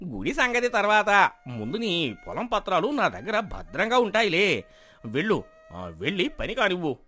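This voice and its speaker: A man playing a sly, smooth-talking village moneylender in an acted folk tale, persuasive and condescending. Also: high voice, huge pitch swings, measured pace, sharp tone, exaggerated voice. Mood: sly, gloating. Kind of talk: dramatized folk moral story acting.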